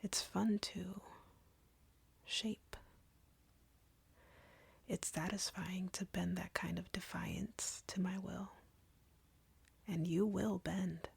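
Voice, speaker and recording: Woman voicing a breathy, whispering, arrogant vampire woman. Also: lowish voice, fairly even intonation, darkish tone, no room sound, exaggerated voice. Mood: seductive, controlling. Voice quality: breathy, husky near-whisper with creak. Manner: slow, taunting, condescending, teasing. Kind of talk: scripted roleplay audio, intimate ASMR-like delivery. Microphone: close, dry microphone.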